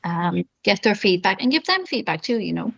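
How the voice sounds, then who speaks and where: A woman's voice: medium pitch, sing-song, moderate pace, gravelly, a bit bright, highs cut off. A middle-aged woman, an author, giving encouraging writing advice on a video call, with many you-knows. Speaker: author guest. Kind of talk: friendly advice in an interview.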